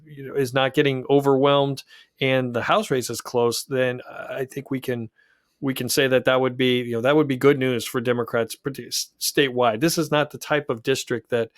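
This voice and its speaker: A man's voice: medium pitch, dry recording. Analytical man hosting, dry close mic. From Pacific Northwest US. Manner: measured, analytical, with repeated restarts. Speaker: podcast host, political analyst. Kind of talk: podcast political analysis.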